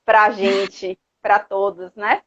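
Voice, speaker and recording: A woman's voice: mid-range voice, flat delivery, drawn-out words, over a noisy crowd. A woman with a Brazilian accent from Salvador, Bahia, speaking earnestly and urging listeners on in a casual live chat, often ending phrases with a tag question. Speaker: host of a live chat, activist parent. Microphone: live social media video call. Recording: dull lo-fi livestream audio.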